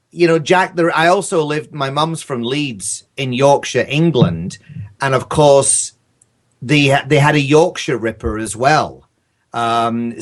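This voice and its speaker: Thoughtful man in casual podcast discussion. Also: mid-range voice. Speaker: podcast guest, audio drama writer.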